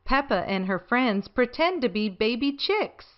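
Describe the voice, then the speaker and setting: Middle-aged woman, children's host, expressive swooping intonation, questioning manner, slightly muffled roomy recording. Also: a little high, a little slow, far from the mic. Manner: animated, asking the listener questions. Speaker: children's show host and storyteller. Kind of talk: children's storybook reading and chat to young viewers.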